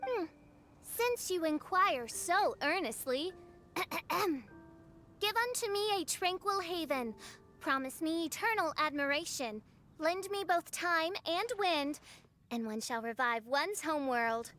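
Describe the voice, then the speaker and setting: A woman's voice: extremely high pitch, lively intonation, slow pace, sharp tone, exaggerated voice. A woman voicing a grandiose teenage self-styled princess in a video game, proclaiming everything in archaic, melodramatic style. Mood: solemn, theatrical. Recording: music behind the voice.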